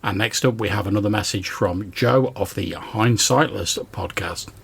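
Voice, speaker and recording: A man's voice: on the low side, normal speed, creaky, breathy edge. A man hosting a podcast, chatting conversationally in response to listener voicemails. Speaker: podcast host.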